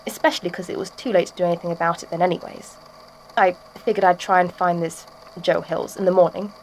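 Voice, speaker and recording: Woman as ex-schoolteacher turned macabre artist: mid-pitched, wry, casual confessional for audio drama, light background noise. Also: natural voice, poor audio. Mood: chatty, wry. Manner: chatty, wry, matter-of-fact confessional storytelling. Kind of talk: audio drama statement monologue.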